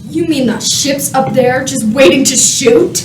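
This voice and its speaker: Blustering official, played by a woman, very high voice, pompous; echoey, noisy radio play recording. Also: unhurried. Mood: demanding. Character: indignant, blustering human official confronting aliens. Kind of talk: comedic radio play acting. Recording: roomy, distant, noisy home recording.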